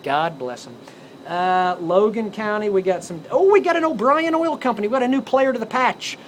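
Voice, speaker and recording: A man's voice: high-pitched, expressive, normal speed. An American man hosting an amateur radio show, rambling off the cuff in a folksy, jokey way with theatrical flourishes. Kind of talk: rambling ad-libbed radio show commentary on news. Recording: clearly noisy recording with ambient sound.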